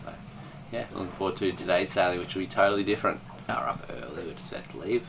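A man's voice: sits low, fast, gravelly. A man giving a casual, unscripted sailing video diary. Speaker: sailing vlogger. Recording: distant mic, steady background hum.

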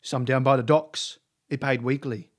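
A man playing a nervous, cowardly hired thug in an audio drama, rough and slangy, blurting in short bursts. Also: mid-range voice, steady tone, moderate pace, lightly acted. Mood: nervous, confessing.

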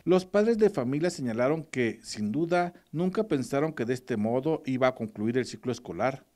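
A male reporter reading a scripted news voice-over in a steady, formal way. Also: mid-range voice, normal speed.

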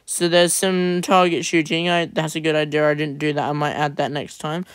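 A man hosting a family building game, chatting along in a casual, upbeat way with quick asides. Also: fairly high pitch, flat delivery, no room sound.

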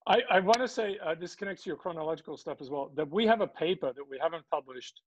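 A middle-aged man hosting a casual interview on a video call, quick and eager. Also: on the high side, slight background noise. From Australia. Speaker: host. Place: small room at home. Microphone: laptop on a video call. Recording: dull, slightly lo-fi video call audio.

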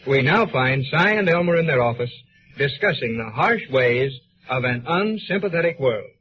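A man's voice: mid-range voice, lively intonation, normal speed, natural voice. A man playing a grandiose announcer narrating a 1930s radio serial in a formal, mock-solemn way. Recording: muffled, noisy 1930s radio recording.

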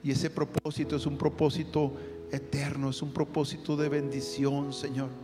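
A man's voice: medium pitch, level tone, normal speed. A man leading a fervent spoken prayer, calling out to the Lord after nearly every phrase. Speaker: prayer leader. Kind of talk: spoken prayer over music. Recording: long hall reverb with guitar music. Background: soft guitar music.

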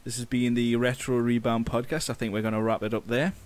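A man with a medium-pitched voice hosts a casual interview. He speaks informally and haltingly as he moves through the questions. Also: flat delivery, a little mellow. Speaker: podcast host.

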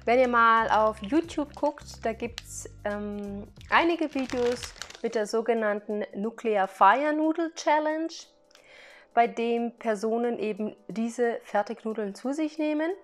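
A woman's voice: fairly high pitch, unhurried, soft background music. A woman talking casually to her viewers in a product haul vlog, chatty, laughing now and then between remarks as she explains each item. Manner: explains items one by one to viewers. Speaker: vlogger.

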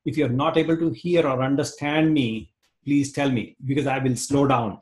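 Fast-talking Indian-accented male instructor. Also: medium pitch, fairly even intonation. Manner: fast-talking, repeats words, restarts phrases. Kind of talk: online class lecture. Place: home room, indoors. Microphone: webcam on video call.

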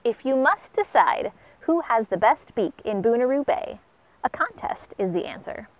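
A woman voicing a wise old pelican who calmly judges a contest, speaking in a measured, announcing way. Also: on the high side. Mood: judging. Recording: dull lo-fi recording.

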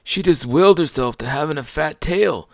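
A man's voice: a little high, lively intonation, moderate pace, gravelly, a bit bright, no echo, studio quality, pitched above the performer's own voice. A man voicing a whimsical, confident animal puppet sidekick in children's banter. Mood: wonder, stubborn.

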